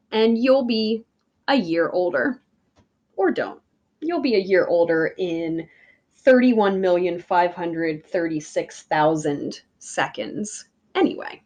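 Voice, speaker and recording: Female children's read-aloud voice, unhurried, very expressive intonation, emphatic teacher delivery. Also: medium pitch. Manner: deliberate, emphatic phrasing addressed to young listeners. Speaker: teacher reading a picture book aloud.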